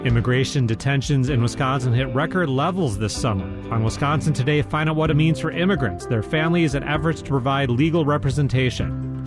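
A man reading a scripted radio promo in a measured announcing style. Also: medium pitch. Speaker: station announcer. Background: music bed.

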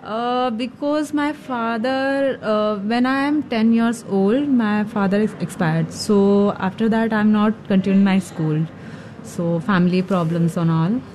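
A woman's voice: mid-range voice, measured pace, a little mellow, slight background noise, rough recording. Young Indian-accented woman, non-fluent English. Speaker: night school student being interviewed. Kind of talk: short simple interview answers. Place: school classroom.

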